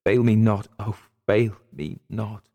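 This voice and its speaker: A man's voice: fairly low pitch, a little slow, a little mellow, close to an everyday voice. A man voicing a genteel, anguished wife who pleads in formal, melodramatic period language, as in a dramatic audiobook. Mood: tender, desperate. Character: anguished young gentlewoman, genteel, pleading, dreading a cruel husband.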